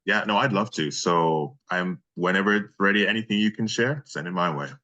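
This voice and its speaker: Guy being interviewed, really low voice, just chatting casually. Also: fairly quick, sharp tone, muffled recording. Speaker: voice actor, interview guest. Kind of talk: casual chat.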